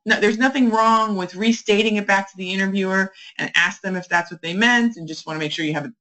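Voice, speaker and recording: A woman's voice: medium pitch, fairly quick, fairly bright tone, low-quality recording. A woman career coach delivering an instructional advice monologue, emphatic and repeating key phrases for weight. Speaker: career coach podcast host.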